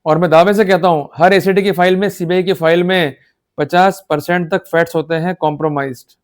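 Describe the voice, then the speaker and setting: Indian male law lecturer, slightly high voice, emphatic and exhorting teacher who keeps checking understanding. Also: fairly even intonation, brisk. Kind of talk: instructional law lecture in Hindi-English mix.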